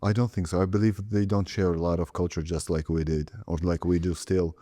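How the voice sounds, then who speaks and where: A man in his thirties with a very deep, mellow voice. He hosts a relaxed interview, asking curious questions and checking for agreement with a quick "right?". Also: dry recording, clean studio sound. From Lithuania. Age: 30s. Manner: inquisitive, seeks agreement with tag questions. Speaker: podcast host. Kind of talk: casual interview.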